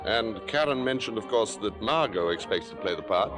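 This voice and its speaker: A suave, possessive cynic of the theater world, acted by a man in old radio style. He is arch and sardonic, with a slightly low, widely swinging voice and clear music behind the broadcast. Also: expressive, normal speed, lightly acted. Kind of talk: vintage radio drama acting and narration.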